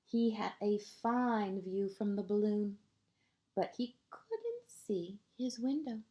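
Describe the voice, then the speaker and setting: A woman with a soft-edged, mellow voice tells a story to small children at an unhurried pace. She is gentle and expressive, leaves space between short phrases, and often lets the ends drop toward a hush. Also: mid-range voice. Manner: deliberate pauses, short phrases, asides to young listeners. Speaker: kindergarten teacher reading a picture book. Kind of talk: read-aloud storytelling for young children.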